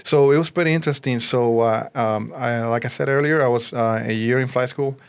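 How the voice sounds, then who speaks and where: A man in his forties with a Puerto Rican accent speaks as an interview guest. His pitch sits in the middle range for a man. He talks in a conversational way, telling his own story. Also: brisk, smooth. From Puerto Rico. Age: 40s. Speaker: interview guest, realtor and army veteran.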